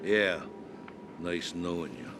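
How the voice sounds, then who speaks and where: An actor playing a gruff, bitter leader of a group of survivors in a video game, with a scornful, drawn-out delivery. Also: male voice, low voice, lively intonation. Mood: bitter resignation. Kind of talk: video game cutscene acting. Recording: loud music behind the voice.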